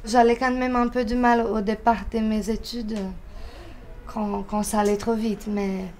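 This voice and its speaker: A Brazilian woman in her twenties speaking French as a second language, calmly answering interview questions at home and sometimes repeating words as she looks for them. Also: fairly high pitch. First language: Portuguese. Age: 20s. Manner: unhurried, searching for words with repeats.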